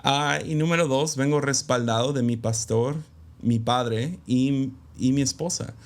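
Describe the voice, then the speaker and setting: A man's voice: mid-range voice, fairly even intonation, a little slow. A man speaking as a guest in an interview, reflective and candid, with many hesitant fillers. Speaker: guest, pastor's son and preacher. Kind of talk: conversational interview.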